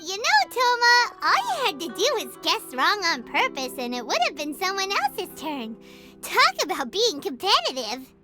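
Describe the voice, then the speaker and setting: An actress voicing a tiny, chirpy fairy companion in a video game, bubbly and teasing, with a very high, childlike voice, talking about herself in the third person. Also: female voice, wildly swooping pitch, measured pace, bright, forceful tone, exaggerated voice. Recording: game audio with music underneath.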